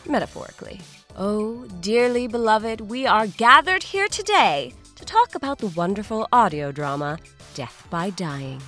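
A woman's voice: medium pitch, bright, forceful tone. A woman reading a scripted podcast promo in a playful, melodramatic, mock-solemn manner. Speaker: promo presenter.

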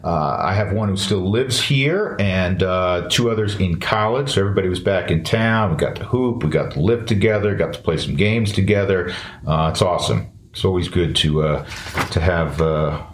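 A man's voice: deep voice, normal speed. A middle-aged man hosting a podcast, talking casually with frequent "uh" fillers as he reads ads and chats. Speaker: podcast host. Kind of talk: casual podcast talk and host-read ads.

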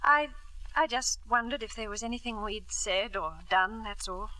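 Bright-voiced anxious wife , played by an actress, old radio drama. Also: female voice, medium pitch, normal speed, dry recording, lightly acted, rough recording. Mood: wistful, worried. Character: anxious, gentle middle-aged wife and devoted mother. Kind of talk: 1950s radio drama acting.

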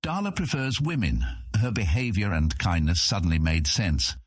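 Low, husky male audiobook narrator. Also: animated, normal speed, no room sound, studio quality. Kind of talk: scripted fantasy narration.

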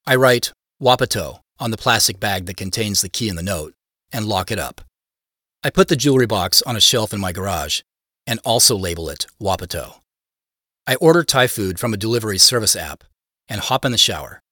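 A man's voice: fairly low pitch, animated, brisk, sharp tone, no echo, studio quality. An actor playing a solitary, private man who narrates his own story in an understated, conversational, matter-of-fact way. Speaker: narrator of an audio drama. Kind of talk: first-person scripted narration.

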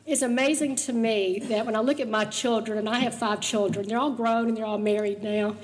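Older woman, slightly raspy voice. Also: a little high, fairly even intonation, brisk, in a large hall, a little hiss or hum, low-quality recording. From Mississippi, US South. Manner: chatty, storytelling, laughs easily. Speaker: guest speaker sharing her testimony. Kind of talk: personal testimony talk.

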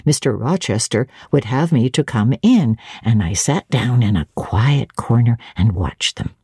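Woman acting elderly housekeeper, genteel, mellow, very deep for a woman, measured, admiring, storybook gossip. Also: expressive, breathy edge, no echo, studio quality, exaggerated voice. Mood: fondly admiring. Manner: measured, reminiscing, savouring details. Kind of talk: dramatic audiobook reading of classic literature.

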